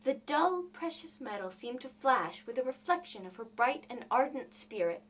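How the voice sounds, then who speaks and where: A woman reading a classic short story aloud with theatrical, deliberate emphasis. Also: sits high, big pitch swings. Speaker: reader of literature. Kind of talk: expressive audiobook narration of a classic short story.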